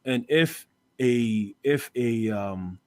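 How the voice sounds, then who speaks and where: A man's voice: mid-range voice, no echo. Man from Canada, debate guest, insistent with lots of restarts, dry mic. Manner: rapid, repetitive restarts when pressing a point. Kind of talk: live debate.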